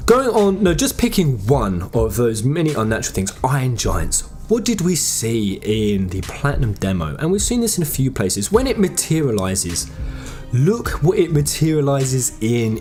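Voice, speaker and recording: A man giving enthusiastic, conversational game commentary, speculating with emphatic delivery. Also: medium pitch. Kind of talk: enthusiastic fan theory commentary.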